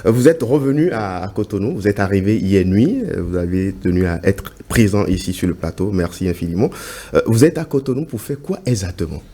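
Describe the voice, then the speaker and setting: Brief: male TV host, low and mellow, polite and formal. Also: animated, brisk. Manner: courteous, formal. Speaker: TV morning show host. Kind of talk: formal TV interview. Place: TV studio set.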